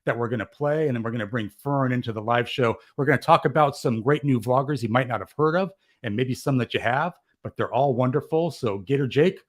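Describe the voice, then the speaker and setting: A man's voice: medium pitch, fairly quick, hardly any pauses. A man chatting casually as a live show host, with an enthusiastic, effusive way of talking.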